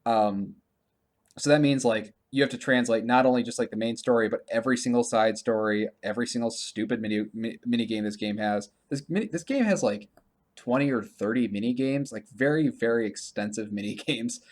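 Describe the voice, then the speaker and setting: A man's voice: mid-range voice, fairly quick. A man hosting a video game podcast, chatting casually about gaming news in a rambling, stammering way and often repeating himself.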